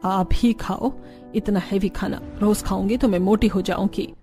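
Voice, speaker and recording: A woman's voice: medium pitch, fairly even intonation, moderate pace, dark tone, soft background music, lightly acted. A woman voicing a haughty, disapproving city in-law in a cartoon. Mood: complaining. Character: haughty, disapproving city women of the in-laws' household.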